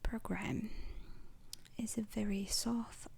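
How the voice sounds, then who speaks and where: A woman playing a calm, formal space-agency instructor in an ASMR roleplay, lecturing in a breathy whisper with deliberate pauses. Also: medium pitch, full character voice. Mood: calm, instructive. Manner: slow, deliberate, hesitant pauses, formal wording.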